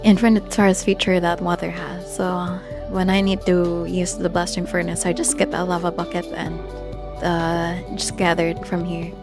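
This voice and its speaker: A person giving casual gameplay commentary in a hesitant, halting way, often searching for words. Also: mid-range voice, monotone. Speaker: gamer narrating own Minecraft build tour. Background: background music.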